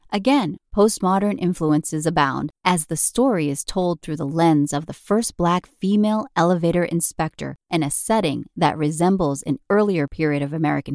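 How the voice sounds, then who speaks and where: Female podcast narrator, lower register, measured and articulate scripted read, dry studio sound with music underneath. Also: darkish tone, no room sound. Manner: measured, articulate, formal reading.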